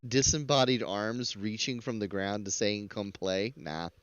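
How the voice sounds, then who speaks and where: A man's voice: on the low side, steady tone, unhurried, fairly bright tone, smooth, dry recording, dull audio. A man hosting a casual live stream, giving chatty, rambling, jokey reaction commentary into a close mic.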